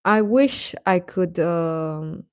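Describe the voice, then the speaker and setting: A woman chats casually to her live stream viewers at a slow, unhurried pace. Her voice sits on the low side and sounds dry and close, with little room sound. She comes across as informal, hesitant and self-deprecating. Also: lively intonation, a little mellow, smooth, no room sound.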